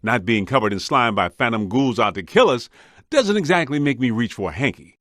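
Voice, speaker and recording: An actor playing a streetwise, wisecracking, grumbling ghost hunter in a scripted video game. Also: male voice, mid-range voice, big pitch swings, a little fast, fairly bright tone, dry recording, studio quality. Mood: wry, grumbling. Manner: wry, streetwise, complaining.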